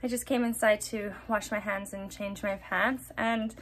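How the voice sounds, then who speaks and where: A woman's voice: mid-range voice, fairly even intonation, unhurried, a bit bright, poor audio. A woman in her thirties chatting casually and playfully to a handheld camera about her day, with self-deprecating humor. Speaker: family vlogger, mother. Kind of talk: casual chat to camera about daily chores. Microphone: camera or phone at arm's length. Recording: handheld recording with clear background noise.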